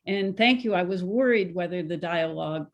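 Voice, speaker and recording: A woman with a fairly low voice talks as a playwright in a discussion. She is casual and halting, feeling her way through short phrases.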